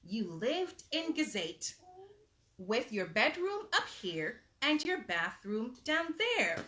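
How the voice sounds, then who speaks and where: A woman reading a children's bedtime story aloud in an expressive, emphatic storybook manner, with asides to young listeners. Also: fairly high pitch, takes it slowly, slight background noise.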